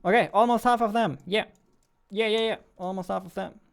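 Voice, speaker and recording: A man's voice: sits high, steady tone, a little fast, vocal fry. A young man, an indie game developer, thinking aloud while he codes and muttering to himself between tasks. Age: young adult. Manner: muttering to himself, half-distracted. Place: small room at a computer desk.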